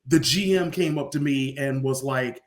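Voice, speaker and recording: A man's voice: mid-range voice, normal speed. A man, an arena announcer, telling casual stories as an interview guest and acting out bits of dialogue. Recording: roomy echo on the voice.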